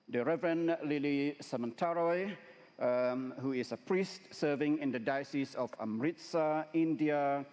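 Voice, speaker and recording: A man hosting a plenary, introducing speakers formally and haltingly with frequent uhs, recorded in a large reverberant hall. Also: mid-range voice, darkish tone.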